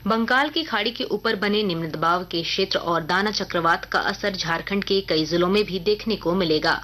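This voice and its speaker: A woman news reader delivering a scripted regional radio bulletin in a formal, measured announcing manner. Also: fairly low pitch, fairly bright tone, a little hiss or hum.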